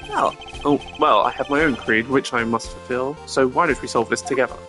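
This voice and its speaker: Composed man voicing a prosecutor over music. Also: mid-range voice. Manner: measured, formal, composed. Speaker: streamer voicing a game. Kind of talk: dramatic reading of game dialogue. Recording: game music behind voice.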